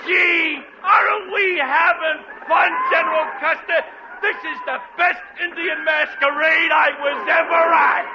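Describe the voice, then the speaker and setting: An actor playing a naive, eager young army private who giggles and gushes, in a 1940s radio comedy. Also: male voice, high-pitched, animated, moderate pace, over a noisy crowd. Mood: giggly, eager. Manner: giggly, goofy, gushing. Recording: dull old lo-fi radio recording with faint hiss.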